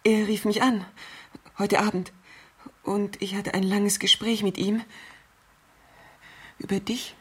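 An actress playing an anxious, pleading, helpless bedridden rich wife in a 1970s German radio thriller, with a breathy, halting delivery. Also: female voice, medium pitch, little pitch movement. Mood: uneasy, anxious.

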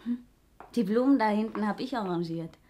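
A woman's voice: medium pitch, fairly quick, mellow, natural voice. An actress playing a helpful, chatty local woman in a 1960s radio crime drama, on an old recording.

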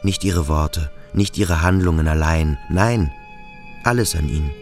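A male actor playing a brooding, introspective schoolboy who questions himself slowly and deliberately in a literary radio drama. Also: rumbling low, darkish tone, soft background music. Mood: brooding, uneasy. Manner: slow, deliberate, self-questioning. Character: brooding, introspective schoolboy pondering his own strangeness.

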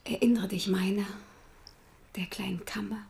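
Creaky, whispery young sweetheart, played by an actress. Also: female voice, mid-range voice, fairly even intonation, moderate pace, a little mellow, a little hiss or hum, close to an everyday voice. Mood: tender, sorrowful. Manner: intimate, plaintive, often dropping to a whisper. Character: tender young village sweetheart parting from her prince. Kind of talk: German radio play acting.

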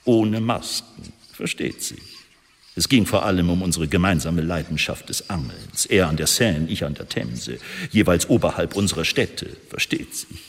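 An actor playing a worldly, self-assured secret service chief in a German historical radio drama, speaking in a measured, urbane way with pointed pauses. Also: male voice, low-pitched. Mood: suave, wry. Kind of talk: German radio drama acting, monologue report. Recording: long reverberant hall, faint noise.

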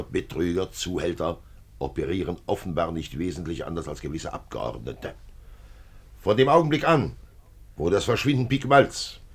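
A very low, husky and animated police commissioner, methodical and sure of himself. The delivery is that of 1950s radio drama. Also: male voice, full character voice. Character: assured, methodical veteran French police commissioner. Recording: 1950s radio play recording.

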